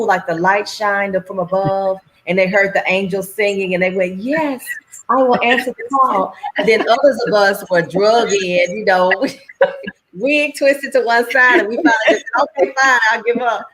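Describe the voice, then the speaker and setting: A middle-aged woman chatting on a livestream, telling stories with animated, folksy phrasing. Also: medium pitch, moderate pace, rough recording. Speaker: anthology organizer and guest. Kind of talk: casual conversation on a livestream.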